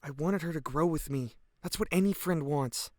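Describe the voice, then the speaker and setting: A man's voice: a little high, moderate pace, a little mellow, dry recording, lightly acted. Man playing teenage boy, guilt-ridden, earnest, hesitant, reflective, audio drama testimony, dry close sound. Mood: earnest, defensive. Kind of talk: audio drama monologue, police interview testimony.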